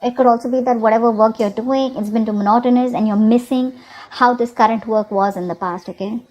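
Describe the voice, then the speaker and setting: High-voiced woman giving a tarot reading. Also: steady tone, a little fast, darkish tone. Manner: conversational, often checking in with okay and you know. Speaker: tarot reader and channel host. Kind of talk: improvised tarot reading addressed to the viewer.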